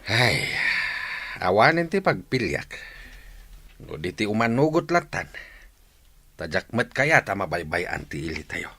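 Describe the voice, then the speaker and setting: A man's voice: deep voice, big pitch swings, a little slow, gravelly, pauses often, sharp tone, slightly rough, full character voice. An actor playing a brooding, resolute young village man, earnest and heavy-hearted, in a radio drama. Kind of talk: Ilocano radio drama acting. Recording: noisy track with birdsong.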